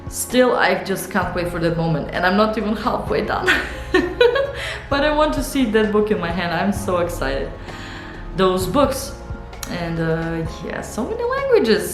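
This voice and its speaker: Low-voiced woman with a Serbian accent. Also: echoey room. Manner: rambling, hesitant, many fillers and restarts. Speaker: illustrator and author. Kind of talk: casual studio vlog, thinking out loud. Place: home art studio.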